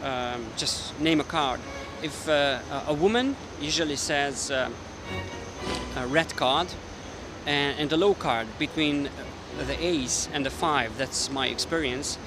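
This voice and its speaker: A man's voice: a little high, slow, music in the background. A man with a Hungarian accent, a mentalist explaining his card tricks casually to the camera while thinking aloud. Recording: street noise with passing traffic.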